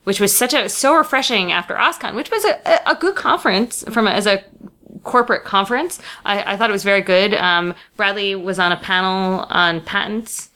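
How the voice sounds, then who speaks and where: Bright-voiced woman from Long Island, chatty. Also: medium pitch. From Long Island, New York. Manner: chatty, halting with fillers. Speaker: podcast co-host. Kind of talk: casual chat.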